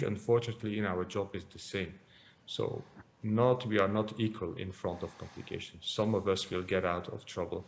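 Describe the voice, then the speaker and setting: Low gravelly Belgian-born man lecturing. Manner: hesitant, many repeated words and fillers. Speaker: interventional cardiologist lecturer. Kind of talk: conference lecture.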